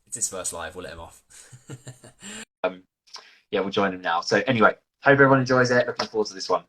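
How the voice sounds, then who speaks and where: A man's voice: lowish voice, steady tone, fast talker, fairly bright tone, rough recording. A man hosting a live video chat, upbeat and friendly. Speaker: host. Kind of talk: casual live-stream chat.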